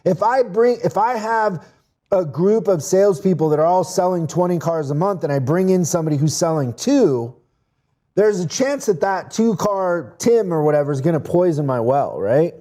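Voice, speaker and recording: Earnest, warm-voiced podcast guest man. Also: high voice, expressive, normal speed. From Mexico. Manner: earnest, repeats words as he restarts. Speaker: guest, business speaker. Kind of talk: casual interview talk.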